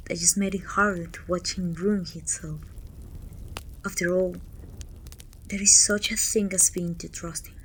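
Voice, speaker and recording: A woman playing a proud, commanding evil queen in an intimate ASMR roleplay, speaking softly and often near a whisper in a measured, regal way. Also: lowish voice, a little hiss or hum, natural voice, low-quality recording. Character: haughty evil queen, proud sorceress ruler, confiding and commanding. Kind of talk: ASMR roleplay acting, intimate monologue to a servant.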